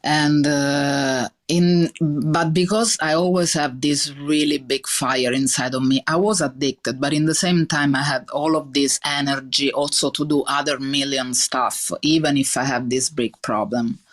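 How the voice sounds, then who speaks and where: A woman's voice: deep voice, fairly even intonation, unhurried, hardly any pauses. A woman in her thirties with a strong Italian accent, speaking earnestly in a podcast interview, with many fillers and restarts. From Italy. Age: 30s. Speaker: chef guest.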